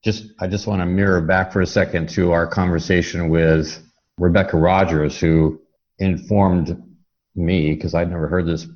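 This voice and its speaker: A man hosting an interview in a casual, reflective style, halting and thoughtful as he affirms what his guest has shared. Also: low-pitched, steady tone, a little mellow. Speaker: podcast host. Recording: dull band-limited lo-fi call audio.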